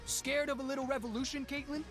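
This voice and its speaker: A man's voice: very high voice, little pitch movement, quick pace, fairly bright tone, soft background music. A man playing a cocky, witty young street rebel inventor in a video game, with a taunting, flippant manner.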